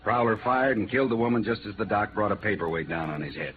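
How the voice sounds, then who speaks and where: Sardonic heavyset American homicide cop for a male actor, middle-aged, lowish voice, 1950s radio drama on thin, noisy tape. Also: lively intonation, quick pace, narrow, tinny audio, lightly acted. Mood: matter-of-fact. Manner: world-weary, sardonic, colloquial. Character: big, round, shrewd middle-aged homicide lieutenant.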